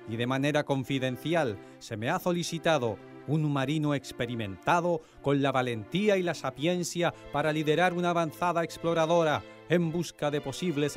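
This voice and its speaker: A colonial Spanish viceroy played by a male actor in a radio drama. He speaks in a formal, ceremonious way with authority, in a slightly high voice over music. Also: close to an everyday voice. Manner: stately, ceremonious delivery.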